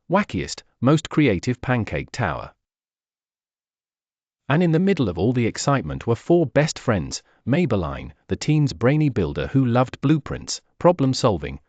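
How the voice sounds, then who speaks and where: Very animated male children's storyteller. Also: mid-range voice, no echo, muffled recording. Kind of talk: scripted storybook read-aloud for kids.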